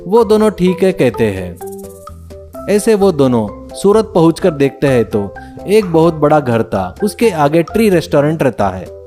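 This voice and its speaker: A man's voice: on the high side, big pitch swings, measured pace, mellow, soft background music. A man narrating a scripted moral tale as a storyteller.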